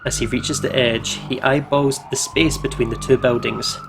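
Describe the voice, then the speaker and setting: Male gameplay host, mid-pitched, story narration with casual asides, sirens and vehicles behind. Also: steady tone, normal speed. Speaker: host of visual novel playthrough. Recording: clear siren and traffic noise behind. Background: game ambience of sirens, vehicles.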